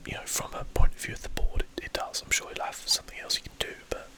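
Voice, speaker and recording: A man whispers breathy, unvoiced ASMR game commentary, musing to himself as he thinks aloud. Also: quick pace. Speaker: gameplay streamer, host.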